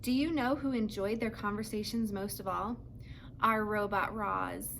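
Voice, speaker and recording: A woman's voice: mid-range voice, fairly even intonation, moderate pace, creaky, a bit bright, slight background noise. A woman narrating a children's book as a read-aloud, telling the story to young listeners. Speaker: storybook reader.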